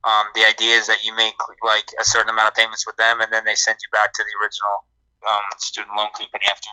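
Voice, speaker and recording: A man's voice: on the low side, monotone, fast, pushed and bright, smooth, no echo, rough recording. A man co-hosting a live credit podcast, explaining answers to listener questions at length and restarting his words often. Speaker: credit repair co-host.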